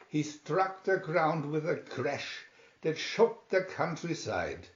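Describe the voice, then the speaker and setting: Slow, echoey male storybook reader. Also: on the high side. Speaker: reader of children's picture booklets. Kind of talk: storybook reading, scripted narration. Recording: dull, echoey home recording.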